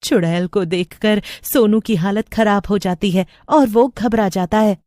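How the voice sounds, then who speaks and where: Slow, dry-sounding woman storyteller. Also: medium pitch, a little mellow, no echo. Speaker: narrator. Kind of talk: scripted horror story narration.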